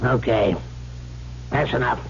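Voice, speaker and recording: An actor playing a weary, gruff rich old man with curt, skeptical speech in a radio drama. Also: medium pitch, slow. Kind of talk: 1970s radio drama acting. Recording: dull lo-fi radio broadcast, faint hum.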